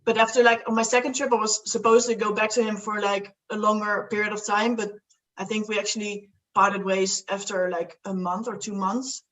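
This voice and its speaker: A woman's voice: mid-range voice, little pitch movement, fairly quick, fairly bright tone, recorded from a distance, rough recording. Young Dutch woman guest, casual rambling chat, sits a little back from the mic. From Netherlands. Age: young adult. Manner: rambling, many fillers and restarts, laughs often.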